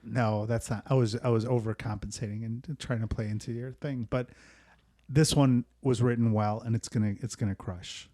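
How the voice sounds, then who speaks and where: A man hosting a casual late-night comedy podcast, talking in a soft, soothing, rambling way and laughing easily. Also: mid-range voice, fairly even intonation, normal speed, dry recording, studio quality. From United States. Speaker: podcast host.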